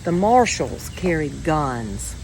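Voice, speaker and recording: A woman reading a children's story aloud in a measured, expressive way with deliberate pauses. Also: low voice, a little hiss or hum, poor audio. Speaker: storybook reader.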